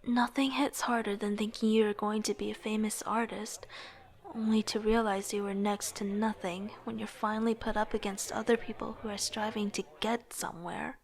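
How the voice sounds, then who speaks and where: A young woman playing an aspiring artist, narrating her own story in a soft, introspective, wistful way. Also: medium pitch, little pitch movement, moderate pace, lightly acted. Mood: self-doubting. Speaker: narrator and lead character. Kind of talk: reflective first-person narration with dialogue.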